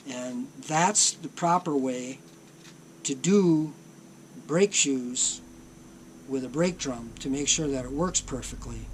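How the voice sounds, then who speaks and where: A middle-aged man giving a slow, plainspoken workshop demonstration of a repair, often pausing on ums and uhs. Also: mid-range voice, a little hiss or hum. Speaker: tape deck repair technician.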